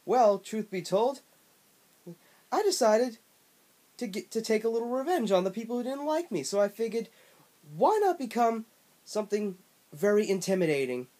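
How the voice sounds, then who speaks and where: Man putting on a grating cartoon bobcat, boastful, chatty, much higher pitched, loud and bouncy. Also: sing-song, moderate pace, pauses often. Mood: smug. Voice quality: obnoxious, grating. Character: obnoxious punning male cartoon bobcat, a washed-up game mascot.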